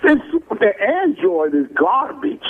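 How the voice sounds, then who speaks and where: Excitable male caller on a tinny phone line. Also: high-pitched, takes it slowly, slight background noise. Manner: excitable, repetitive street-slang talk. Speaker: radio show caller. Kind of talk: casual slangy phone chat.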